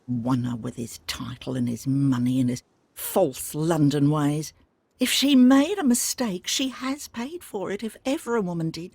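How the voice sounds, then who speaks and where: A loyal lady's maid in a video game, bitter and forthright. Her voice is husky and fairly low, and it sits dry and close to the mic. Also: expressive, measured pace, a little mellow, no echo, heavily acted. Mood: indignant.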